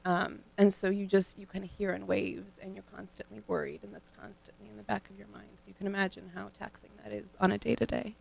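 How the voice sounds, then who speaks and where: A young woman from Ohio, a student guest on a radio show, answering questions in an earnest, measured, thoughtful way into a studio microphone. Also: mid-range voice, level tone, gravelly, rough recording. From Cleveland, Ohio, United States. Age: young adult.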